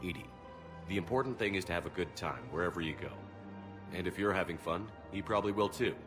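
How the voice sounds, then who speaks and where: A tough military hero played by a man with a very deep voice and brisk, blunt phrasing. He sounds dry and assured, in game cutscene style over clear background music. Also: lightly acted. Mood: reassuring. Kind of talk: video game voice acting. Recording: music under the dialogue.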